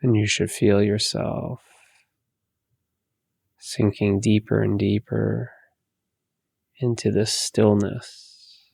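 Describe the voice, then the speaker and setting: A man leading a guided meditation in a soothing, hushed manner, giving gentle instructions with long pauses. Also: fairly low pitch, flat delivery, creaky, slightly rough, no echo.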